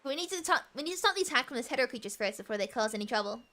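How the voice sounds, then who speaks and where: A woman streaming a game, reading its dialogue aloud, hoarse from a cold and losing her voice, excitable and tripping over her words. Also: high-pitched, rapid-fire. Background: game music underneath.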